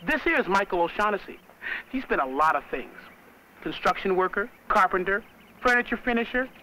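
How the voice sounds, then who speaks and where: A man's voice: high voice, expressive, normal speed, sharp tone, slight background noise, poor audio. A young American man playing a cheerful college student who narrates a 1970s educational film in a folksy, chatty way. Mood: folksy, upbeat. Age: young adult. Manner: folksy, chatty, addressing the viewer. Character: cheerful young college student guiding viewers round his street. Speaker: on-camera narrator.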